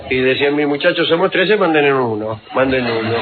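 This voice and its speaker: A man's voice: mid-range voice, expressive. Man, radio storyteller, wry and meandering, tinny narrow sound, faint hiss. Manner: unhurried, wry, digressive, with many fillers. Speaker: radio host telling historical anecdotes. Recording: tinny phone-like radio recording.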